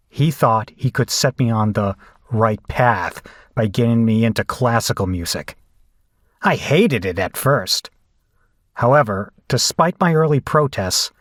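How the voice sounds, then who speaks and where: An actor playing a cynical biker who narrates an audio drama in a wry, bitter, self-mocking way. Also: male voice, mid-range voice, dry recording, clean studio sound, natural voice. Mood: wry resentment. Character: cynical, bitter biker man telling his story.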